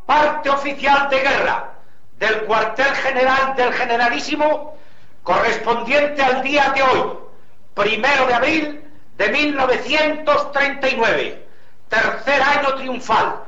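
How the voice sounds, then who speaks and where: A man's voice: very high-pitched, slow, sharp tone. Guy reading an official war bulletin, solemn, old muffled echoey radio sound. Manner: solemn, declamatory. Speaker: radio announcer. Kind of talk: formal official broadcast reading. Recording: dull echoey 1930s radio archive.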